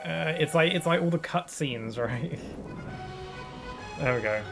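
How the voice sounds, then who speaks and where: A man streaming a game, chatting casually and thinking aloud, a bit sniffly from hay fever, with bursts of excitement. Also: on the high side, a little slow, creaky, over music. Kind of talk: casual gaming commentary.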